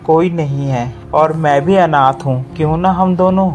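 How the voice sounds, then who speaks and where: A man voicing a kind, earnest male sparrow in a cartoon story, gentle and reassuring. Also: fairly high pitch, a little slow, soft background music, natural voice. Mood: devoted, reassuring.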